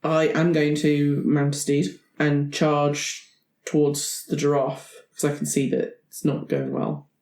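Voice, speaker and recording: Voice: medium pitch, flat delivery, unhurried, a little mellow. A player in a tabletop roleplaying game, casually improvising and describing their character's moves. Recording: roomy, echoey sound.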